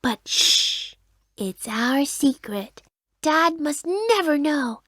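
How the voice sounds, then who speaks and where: A man voicing an excitable, affectionate little boy in a storybook, squeaky, drawn-out and childlike. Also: no room sound, studio quality, full character voice. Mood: secretive.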